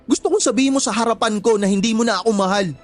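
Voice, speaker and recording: A man's voice: sits high, very fast, soft background music, lightly acted. A man acting a desperate jilted boyfriend in a radio drama, pleading and insistent. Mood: hurt, insistent. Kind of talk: emotional radio drama dialogue.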